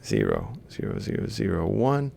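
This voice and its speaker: A man lecturing in a deep voice heavy with vocal fry. He explains things methodically, one step at a time, as if thinking aloud while he writes. There is some rumbly noise behind him. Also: wildly swooping pitch, moderate pace, dark tone. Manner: explanatory, step by step, reciting values piecemeal. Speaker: university lecturer. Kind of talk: instructional screen-capture lecture, thinking aloud while writing.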